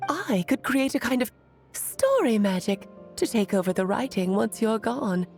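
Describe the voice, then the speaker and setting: Female storybook magician, game drama, fairly high voice, melodic swoops, whimsical, affectionate, musing, music underneath. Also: sing-song, darkish tone. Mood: musing, gently regretful. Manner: theatrical, musing, drawn-out phrasing. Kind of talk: dramatic video game voice acting.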